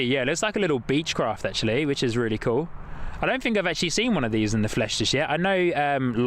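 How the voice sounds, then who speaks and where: A man from the UK chats enthusiastically while livestreaming planes outdoors. His commentary is casual and rambling at a mid pitch, and faint outdoor noise hums behind him. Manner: enthusiastic, chatty, reads viewer comments aloud. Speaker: plane spotting livestream host. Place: outdoors near an airport.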